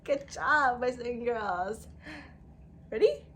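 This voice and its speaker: Woman, children's storytime teacher, sing-song and exaggerated, high-pitched, unhurried, interactive read-aloud. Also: expressive, fairly bright tone, slight background noise.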